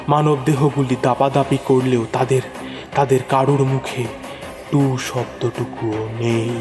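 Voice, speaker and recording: A man narrating a first-person horror story in a suspenseful, theatrical way, repeating phrases for dramatic effect. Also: mid-range voice. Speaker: narrator of horror audio stories. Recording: long reverb over prominent music. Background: music.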